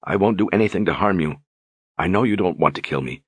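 A man voicing a calm, steadfast young lord who speaks gently and reassuringly. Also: low voice, moderate pace, husky, dry recording. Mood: gentle.

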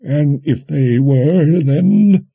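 A shrewd, kindly old wizard from a fantasy audiobook. He talks slowly and folksily in a husky, raised voice, musing and gently teasing. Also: on the high side, dry recording, voice pushed up, heavily acted. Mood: probing. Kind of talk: audiobook character dialogue.

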